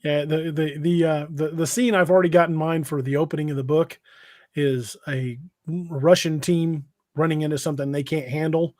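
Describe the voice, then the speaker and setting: A man casually hosting a livestream, reading out comments and chatting in a halting, hesitant way. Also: a little high, fairly even intonation, darkish tone, dry recording, clean studio sound. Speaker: podcast host and novelist. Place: indoors on an internet livestream video call.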